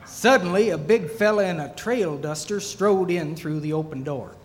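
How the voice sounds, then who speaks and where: A man's voice: a little high, moderate pace, lightly acted. A man playing a tavern master who tells a comic fantasy western tale in a wry, folksy way. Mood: storytelling. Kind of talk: narration in comic audio theatre. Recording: noisy, reverberant live theatre hall.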